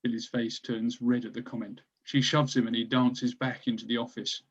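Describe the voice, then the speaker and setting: A man's voice: medium pitch, flat delivery, normal speed, slightly rough. A slightly muffled, lo-fi recording of a man narrating. He reads screenplay action lines in a mid-range voice, as scripted stage directions.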